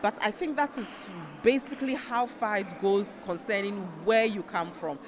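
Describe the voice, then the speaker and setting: A woman from Ghana, a researcher and panel guest, speaking in a measured, thoughtful way with frequent fillers. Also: medium pitch, animated, background noise. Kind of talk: panel conversation.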